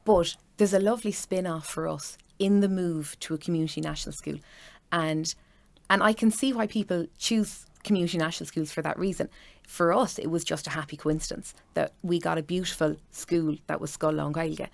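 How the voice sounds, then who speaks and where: A woman's voice: deep voice, fairly even intonation, fairly quick, gravelly. A woman in her thirties with an Irish accent, chatting enthusiastically as a parent about her children's school. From Ireland. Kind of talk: casual chat.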